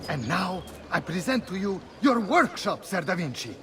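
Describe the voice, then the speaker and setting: A man's voice: high-pitched, very animated, normal speed, over music. An actor voicing a formal male Venetian, giving a flowery welcome. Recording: game cutscene with street ambience.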